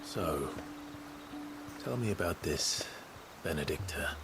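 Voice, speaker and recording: A man's voice: a bass voice, animated, takes it slowly, vocal fry, music in the background, heavily acted. A man playing a terse, grimly determined young warrior in a video game, curt, guarded and serious. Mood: probing.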